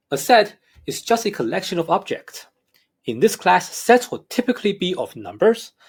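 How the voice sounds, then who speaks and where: A man's voice: high-pitched, slightly rough. A man with a slight Hong Kong accent, a math professor narrating a recorded lecture in a careful, explanatory way. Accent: Hong Kong English.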